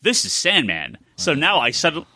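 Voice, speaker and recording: A man co-hosting a casual podcast, excitable and sweary, often repeating himself as he gets his point out. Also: fairly high pitch, normal speed, no room sound. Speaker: podcast co-host. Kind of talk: casual panel chat.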